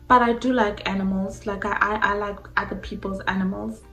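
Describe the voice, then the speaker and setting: A woman's voice: medium pitch, fairly even intonation, fairly quick, bright, forceful tone. A young South African woman whose first language mixes Xhosa and Zulu, chatting casually and informally to viewers in a question-and-answer vlog. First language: a mixture of Xhosa and Zulu. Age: young adult. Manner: informal, uses "like" constantly. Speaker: YouTuber answering personal questions. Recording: echoey room with faint music.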